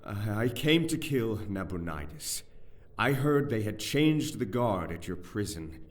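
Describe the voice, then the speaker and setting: Low, halting, anxious nobleman. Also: very animated. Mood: grave, fearful. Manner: dramatic, halting. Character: anxious, high-born conspirator shaken by horror. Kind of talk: full-cast fantasy audio drama acting. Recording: distant mic under background music.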